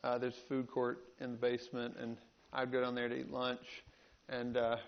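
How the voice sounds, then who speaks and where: A man from rural North Carolina with a Southern American accent, giving a folksy, halting storytelling talk as a panel speaker. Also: medium pitch, monotone, vocal fry. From rural North Carolina, United States. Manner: folksy, halting with repeated words. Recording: dull, band-limited live talk recording.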